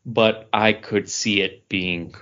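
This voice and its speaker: Male co-host, lowish pitch, eager casual fan discussion, slightly muffled podcast recording. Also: fairly even intonation, takes it slowly, fairly bright tone. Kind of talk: casual geek news discussion.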